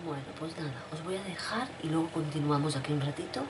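A middle-aged woman with a cold and a rough throat, chatting casually to her viewers from a room at home, repeating herself as she talks. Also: deep voice, fast, very noisy. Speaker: vlogger. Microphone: webcam at desk.

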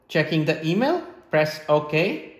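A man's voice: fairly high pitch, very animated, slow. A man in his thirties giving step-by-step instructional narration in a plain, methodical way, in short statements. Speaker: presenter of a tech setup tutorial. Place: small hard-floored room indoors. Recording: roomy, echoing indoor sound.

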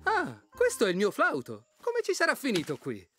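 A woman voicing a small, friendly, calm-tempered mongoose in a children's cartoon. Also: a little high, normal speed. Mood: reassuring. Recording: music behind.

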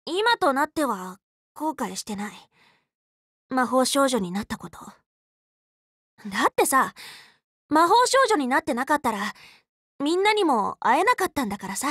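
Anime game role: teenage magical girl, loyal tomboy leader, bright forceful tone, very lively intonation, dry close studio sound, frank and casual. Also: sits high, normal speed, long pauses, no echo, full character voice. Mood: earnest, warm. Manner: casual, boyish, spirited, frank speech. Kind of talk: anime game character voice lines.

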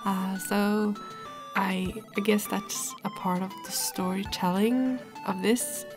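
A woman's voice: mid-range voice, steady tone, takes it slowly, creaky, music in the background, low-quality recording. A woman giving a casual, rambling voice-over about her drawing, speaking hesitantly as an illustrator hosting her own video.

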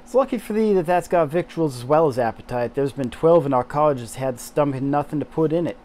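A man voicing a chatty young housemaid in an attempted Yorkshire accent that drifts toward American Southern, broad, loud and hearty. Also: fairly high pitch, fast talker, lightly acted.

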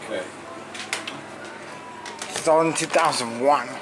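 A man's voice: medium pitch, a little slow. Man narrating in an echoey store. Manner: offhand, matter-of-fact commentary. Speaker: elevator video host. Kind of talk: casual handheld narration. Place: elevator in a department store. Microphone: handheld camera mic. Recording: echoey store with steady ambient noise.

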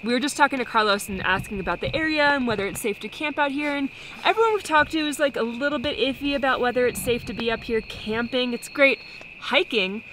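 A woman's voice: fairly high pitch, fast talker, a bit bright, a little hiss or hum, low-quality recording. Chatty young woman vlogging in the jungle. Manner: chatty, laughs easily. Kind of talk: casual travel vlog chat. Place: rainy jungle and inside a tent.

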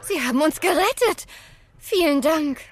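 Plucky smart girl, high animated voice, earnest and inquisitive, children's radio play, slight noise behind. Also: normal speed. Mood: keen. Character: plucky, clever young girl detective. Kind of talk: children's audio drama acting.

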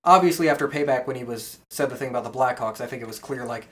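A man's voice: mid-range voice, fast. A man hosting a wrestling podcast, chatting casually and informally with co-hosts. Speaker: podcast host.

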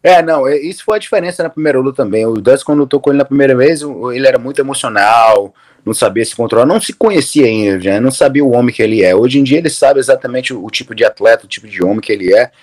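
A man's voice: medium pitch, rapid-fire, few pauses. A man from Brazil in his thirties, a guest on a video-call interview, talking casually with lots of restarts and fillers. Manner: relaxed, rambling with many restarts and fillers. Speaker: interview guest, MMA coach. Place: room at home, indoors.

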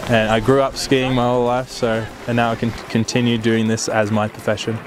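A man's voice: medium pitch, little pitch movement, fairly quick, background noise. A man in his twenties from Australia, a ski instructor, talking casually about his working life in an interview. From grew up in Australia. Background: music.

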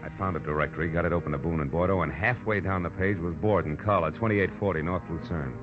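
A man's voice: low-pitched, fast talker, natural voice. A man playing a wry, tough American private detective in a 1940s-style radio drama, wisecracking as he narrates his case. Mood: wry narration. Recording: dull old radio recording with music.

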